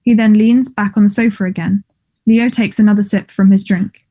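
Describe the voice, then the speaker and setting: A woman reading stage directions as scripted narration in a measured, neutral manner. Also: medium pitch, steady tone, mellow.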